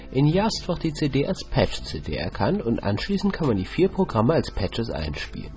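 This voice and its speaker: Dude reading a software review off a script, voice goes up and down a lot, quiet music behind. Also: male voice, medium pitch. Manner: reads script, addresses listeners informally. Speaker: podcast contributor.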